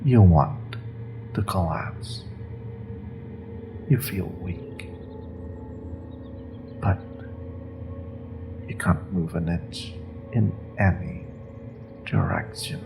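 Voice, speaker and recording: A man playing a cruel rogue sorcerer in a fantasy audio drama, taunting a captive with mock politeness, often dropping toward a breathy whisper. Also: deep voice, sing-song, music in the background, full character voice. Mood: cold intimidation. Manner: slow, taunting, mock-polite, savoring threats with pauses. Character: cruel, menacing rogue sorcerer, male captor taunting his prisoner.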